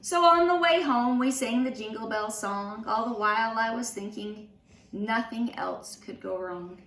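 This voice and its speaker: A woman reading a children's storybook aloud in an expressive, animated storytelling manner. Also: on the high side. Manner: slow, animated storytelling with acted voices. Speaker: storybook reader. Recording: roomy, distant mic with faint noise.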